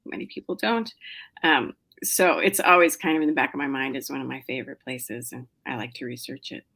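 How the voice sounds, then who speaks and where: A woman's voice: extremely low pitch, brisk, vocal fry, fairly bright tone. A middle-aged woman novelist chatting as a podcast guest, with lots of fillers, restarts and small laughs. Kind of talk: casual interview chat.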